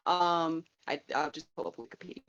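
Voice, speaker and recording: A woman's voice: low voice, steady tone, fairly quick, a bit bright. A woman co-hosting a casual podcast, telling everyday stories plainly and quoting other people in them. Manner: plain, storytelling with quoted voices. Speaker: podcast co-host. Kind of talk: casual chat. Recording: dull lo-fi mic.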